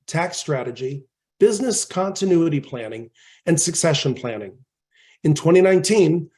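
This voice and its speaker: A man's voice: on the high side, darkish tone. Man with a slightly froggy voice. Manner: reads prepared remarks steadily. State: slightly hoarse from a head cold. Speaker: webinar host. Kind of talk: scripted webinar introduction.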